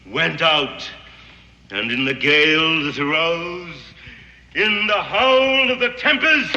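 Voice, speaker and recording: A grandiose English sun-god zealot. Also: male voice, fairly high pitch, pushed and bright, dull audio, slight background noise, exaggerated voice. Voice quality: theatrical, declamatory. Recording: echoey old TV soundtrack with score.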